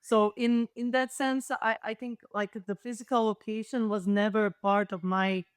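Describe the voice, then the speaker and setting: A woman's voice: mid-range voice, level tone, normal speed, smooth, dry recording, studio quality. A woman with a Balkan accent, a native Serbo-Croatian speaker, talking as a thoughtful, hesitant researcher in a panel discussion. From former Yugoslavia. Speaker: panel guest, literature researcher.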